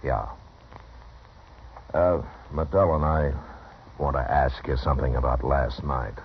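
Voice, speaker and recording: Steady frontier marshal, extremely low voice, authoritative, terse, radio western, muffled vintage recording. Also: male voice, unhurried, slight background noise. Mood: grave, quiet. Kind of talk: old-time radio western acting.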